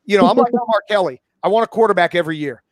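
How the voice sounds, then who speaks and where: A man's voice: sits high, animated, racing, no echo. A man in his forties talking sports casually as a pundit, blunt and opinionated, swearing freely. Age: 40s. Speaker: college football pundit and podcast guest.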